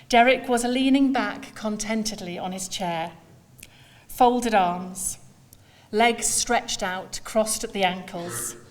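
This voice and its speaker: A woman speaks as a school leader paying tribute at a memorial. Her delivery is formal and measured, with deliberately shaped phrases and pauses between them. Her voice sits at an average pitch. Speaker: school leader giving a eulogy. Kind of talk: prepared memorial speech to an assembly.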